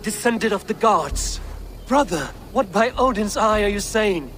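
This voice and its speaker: A woman's voice: medium pitch, lively intonation, a little slow, fairly bright tone. A woman playing a blunt, headstrong Viking warrior in a video game, challenging and sceptical in delivery. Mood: incredulous. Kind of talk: dramatic video game acting. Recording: music behind the voice.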